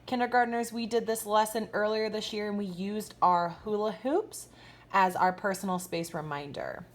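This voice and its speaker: A woman kindergarten teacher reading a picture book aloud expressively, pausing to ask young listeners questions. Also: medium pitch, fairly even intonation. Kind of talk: expressive children's storytime read-aloud with asides.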